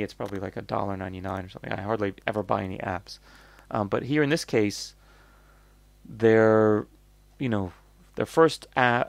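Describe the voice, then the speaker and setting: A man's voice: fairly low pitch, vocal fry, no room sound. A North American man hosting a casual, off-the-cuff product review, rambling and blunt as he thinks aloud.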